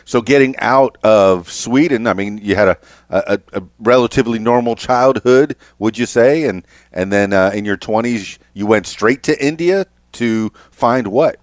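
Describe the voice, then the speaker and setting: A man in his fifties hosting a casual interview, speaking haltingly and often repeating his words. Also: medium pitch, no room sound, clean studio sound. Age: 50s. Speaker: podcast host interviewer.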